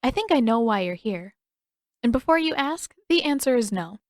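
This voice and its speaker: A woman's voice: fairly high pitch, very animated, normal speed, no echo, pitched above the performer's own voice. A stern teenage warrior girl voiced by a woman narrator in an audio story. She is firm and terse with a fierce streak, recorded very dry. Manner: firm, terse, rule-bound.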